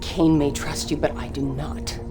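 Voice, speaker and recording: A woman's voice: deep voice, little pitch movement, measured pace. Intense, defiant female militant zealot. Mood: cold, wary. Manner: intense, dramatic, emphatic. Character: fervent, defiant female militant zealot, loyal yet distrustful. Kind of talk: dramatic video game cutscene acting. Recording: voice over loud background music.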